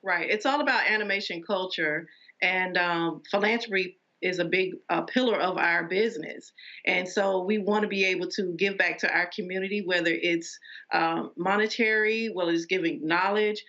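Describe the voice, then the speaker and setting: A woman being interviewed as an animation entrepreneur, answering conversationally with hesitant pauses. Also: on the low side, pushed and bright, dull audio.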